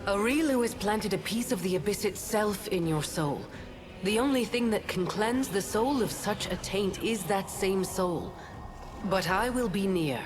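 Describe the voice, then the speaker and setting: An actress playing a righteous, stern goddess in a video game, speaking in a grave, measured and formal manner. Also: female voice, medium pitch, expressive, natural voice. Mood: solemn, resolute. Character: solemn, righteous goddess of justice, stern and noble.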